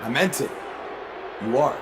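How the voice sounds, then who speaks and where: A man's voice: medium pitch, lively intonation, measured pace, a loud crowd, heavy background noise, natural voice. A man playing a humble, earnest young underdog wrestler in a video game, speaking sincerely and modestly. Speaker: professional wrestler.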